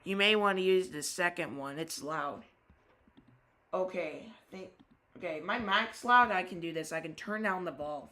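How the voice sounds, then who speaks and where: Radio hobby host, casual and halting, crisp bright tone, spells out call signs. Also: mid-range voice. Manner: halting, restarts sentences, spells call sign phonetically. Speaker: ham radio operator and live-stream host. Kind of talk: casual live-stream chat with radio calls.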